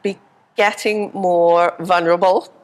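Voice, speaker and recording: A woman's voice: lowish voice, steady tone, measured pace, poor audio. A middle-aged woman from England with a Liverpool accent and a head cold, openly and reflectively telling her life story as an interview guest. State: has a cold, congested. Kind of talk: casual interview conversation.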